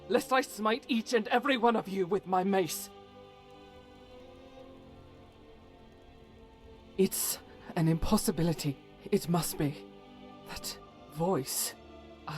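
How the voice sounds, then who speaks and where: A woman's voice: mid-range voice, a little mellow, natural voice. A woman voicing a devout, stern holy warrior who carries private doubt, speaking solemnly and formally, as in a fantasy game. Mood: alarmed, defiant, then shaken. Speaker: voiced follower character in a fantasy game mod. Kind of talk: scripted dramatic game dialogue.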